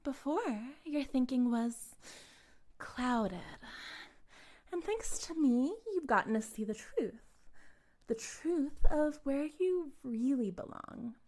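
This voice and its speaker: Woman acting as female cult leader, slow delivery, fairly high voice, possessive, condescending, cooing, theatrical, intimate roleplay monologue. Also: expressive, darkish tone, full character voice. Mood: smug, coaxing. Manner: theatrical, cooing, condescending, drifting into breathy whispers. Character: female cult leader captor, sweetly menacing, self-righteous, possessive. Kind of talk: intimate audio roleplay monologue addressed to the listener.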